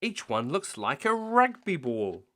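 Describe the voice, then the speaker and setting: A man's voice: fairly high pitch, very animated, unhurried, a bit bright, pitched above the performer's own voice. A man voicing a sly female goose from a storybook in a coaxing, persuasive manner. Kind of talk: storybook character voice.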